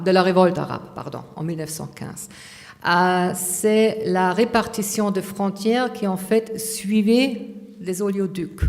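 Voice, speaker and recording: A woman's voice: fairly low pitch, darkish tone. Woman lecturing in an echoing hall. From Austria. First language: German. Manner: hesitant, many fillers and restarts. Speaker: conference speaker.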